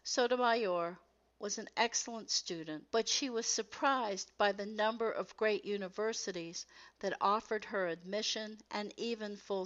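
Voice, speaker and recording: A woman reading scripted educational text as a narrator, in a measured, carefully enunciated way. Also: medium pitch, fairly bright tone, lo-fi sound.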